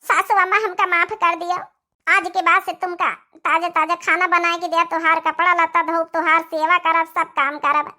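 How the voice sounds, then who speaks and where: A woman's voice: very high-pitched, little pitch movement, moderate pace, bright, forceful tone, soft background music, exaggerated voice. A woman voicing a repentant young village wife in a cartoon, pleading effusively in an Awadhi accent. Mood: tearful pleading.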